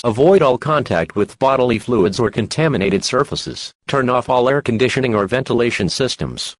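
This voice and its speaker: A man reading a scripted emergency broadcast announcement in a formal, measured, impersonal manner. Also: mid-range voice, no echo.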